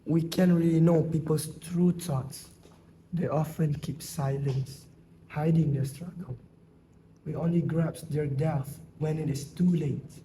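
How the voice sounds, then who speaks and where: A man's voice: fairly high pitch, background noise, natural voice. A man acting in an amateur stage play as a sorrowful, reflective villager. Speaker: amateur student actor. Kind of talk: stage play dialogue, recited.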